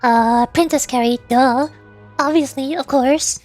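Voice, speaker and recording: Giggly young woman streamer, really high voice, a little music playing under her. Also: a little slow, a little mellow. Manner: playful, giggly, chatty. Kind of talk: reading game dialogue aloud with playful commentary.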